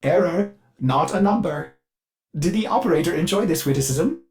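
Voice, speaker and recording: An actor playing a fussy, eager-to-please artificial mind of a spaceship in a video game, with an overly polite, theatrical and halting delivery. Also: male voice, a little high, animated, darkish tone, natural voice. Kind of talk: video game character dialogue.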